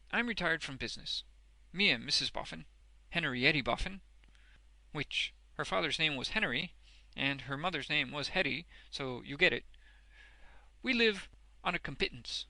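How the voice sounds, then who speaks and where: A man's voice: a little high, expressive, normal speed, long pauses, bright, forceful tone, dry recording, voice pushed up, full character voice, rough recording. A man voicing a hearty, uneducated old working man in a storybook, blunt and exuberant, with emphatic, ungrammatical speech. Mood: eager, bluff.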